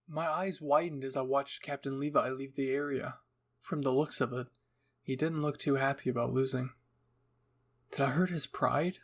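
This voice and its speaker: Creaky-voiced man narrating a story. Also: medium pitch. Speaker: narrator, fan fiction reader. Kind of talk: dramatic scripted story narration.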